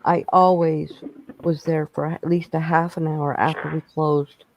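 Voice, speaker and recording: A man's voice: a little high, level tone, measured pace, lo-fi sound. A man chatting casually on a bingo stream, rambling through stories with restarts. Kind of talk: casual chat.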